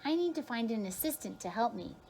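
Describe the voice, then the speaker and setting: A woman's voice: fairly high pitch, sing-song, brisk, vocal fry, a little mellow, a little hiss or hum. A woman voicing a harried, bossy male pancake cook in a children's story, with an urgent, emphatic delivery. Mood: flustered.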